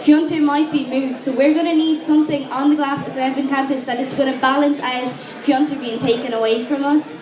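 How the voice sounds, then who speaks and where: A woman's voice: high voice, fairly even intonation. A young Irish woman giving a frank, casual campaign speech to a room of students. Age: young adult. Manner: casual, frank, quick with asides. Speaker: student election candidate. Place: lecture room with an audience. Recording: echoey room with crowd noise.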